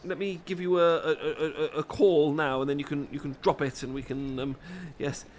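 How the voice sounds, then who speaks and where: Man acting a stammering, bashful British bloke, high-ish voice. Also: level tone, normal speed, slight background noise, natural voice. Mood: excited, flustered. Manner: stammering, rambling, apologetic, self-deprecating. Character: flustered, adorkable, bashful British man on a meet-cute. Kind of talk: intimate ASMR roleplay acting, addressed to listener.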